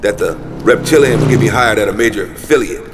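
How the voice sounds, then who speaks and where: A man's voice: lowish voice, quick pace, close to an everyday voice. A man playing a paranoid, jaded homeless conspiracy theorist in an audio drama, speaking cryptically and with emphatic intensity. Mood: bitter, recounting. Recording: reverberant space, faint traffic noise.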